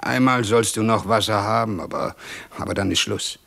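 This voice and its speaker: An actor playing a gruff but jovial, teasing caretaker and father in a German radio play, with a hearty, folksy, grumbling manner. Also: lowish voice, normal speed, fairly bright tone.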